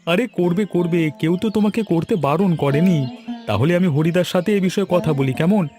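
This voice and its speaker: A man's voice: high voice, moderate pace, dark tone, faint music behind, natural voice. A man voicing a chatty, persuasive village matchmaker in a cartoon. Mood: coaxing. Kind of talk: Bengali cartoon dialogue.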